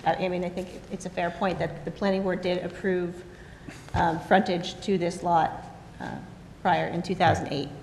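A young woman with a fairly low voice gives tentative staff remarks at a public meeting, pausing and hedging. Her desk mic picks up a roomy chamber echo and long reverb, with faint noise behind. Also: level tone, creaky, darkish tone. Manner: tentative, with hesitations. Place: council chamber. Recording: echoey hall with faint noise.

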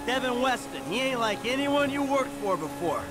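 An actor playing a cocky middle-aged criminal mentor in a video game, brash, casual and insistent. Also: male voice, very high-pitched, sing-song, moderate pace, over loud music. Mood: persuasive.

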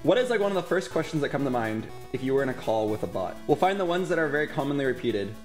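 A man's voice: medium pitch, sing-song, fast talker. A man, chatting casually on a livestream as he gives directions. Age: 20s. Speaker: streamer directing voice line recording. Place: indoors, streaming room. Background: video game music.

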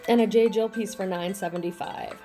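A woman's voice: medium pitch, steady tone, fairly quick, dark tone, faint music behind. A middle-aged woman with a faint Boston accent, chatting enthusiastically through a thrift haul as a reselling vlogger. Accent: Boston, on a handful of words. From Boston area, USA. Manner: chatty, enthusiastic about clothing finds. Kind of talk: casual haul vlog.

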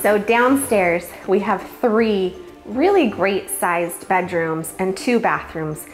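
Lady in her 40s showing a house, upbeat, medium pitch, music under her. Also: slow pace. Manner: enthusiastic. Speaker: real estate agent presenting a home tour. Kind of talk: upbeat promotional presentation to camera. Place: house porch and empty wood-panelled rooms. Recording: roomy echo, music underneath. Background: background music.

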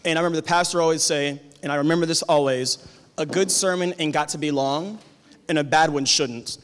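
A man, a high school teacher, giving a conference keynote from a stage with a handheld mic, talking in a measured, conversational way. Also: clear, resonant tone. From Tacoma, Washington. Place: large conference hall on stage. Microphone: handheld cordless mic. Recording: long hall reverb.

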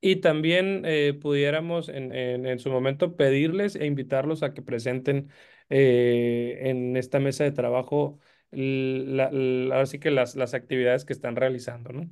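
Smooth-voiced man, halting. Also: medium pitch, steady tone, darkish tone. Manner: halting, fills pauses with este and eh. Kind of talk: meeting remarks.

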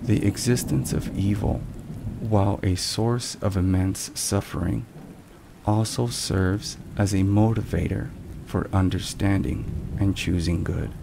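A man giving a hushed, solemn, measured devotional narration in a soft ASMR style. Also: low voice, creaky. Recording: rain and thunderstorm noise behind. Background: steady rain and thunder.

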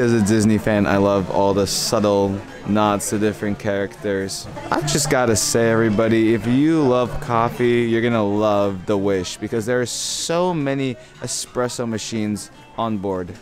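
A man's voice: lowish voice, moderate pace. Chatty young man vlogging a buffet. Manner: upbeat, chatty, reads signs aloud. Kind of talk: casual travel vlog narration. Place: indoor buffet restaurant on a cruise ship. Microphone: handheld camera at arm's length. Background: background music playing.

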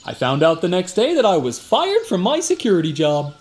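A man's voice: sits high, huge pitch swings, brisk, darkish tone. A man playing a boastful, evasive security guard in a comic radio drama, folksy, slangy and given to exaggeration. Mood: earnest, indignant. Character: boastful, evasive tough-guy security guard, a fibbing male cousin.

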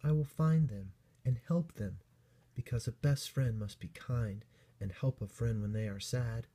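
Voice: mid-range voice, a little slow, mellow, husky. A reader voicing a small young bunny princess in a children's storybook, eager, kind and earnest. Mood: curious, concerned.

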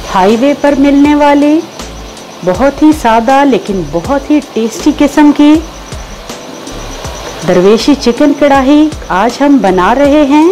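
A woman's voice: sits high, lively intonation, slow, mellow, poor audio. A woman with a Pakistani Urdu accent hosting a cooking show, giving patient step-by-step recipe instructions with enthusiasm for the dish. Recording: voice-over with faint background music.

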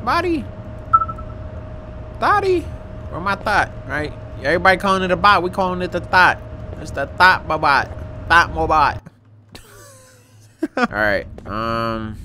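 A man in his twenties giving casual, slangy, jokey gaming commentary with some swearing and excitable reactions. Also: a little high, measured pace, long pauses. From Dominican background. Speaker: gaming video host. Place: indoor room, gaming setup. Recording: noticeable background game noise.

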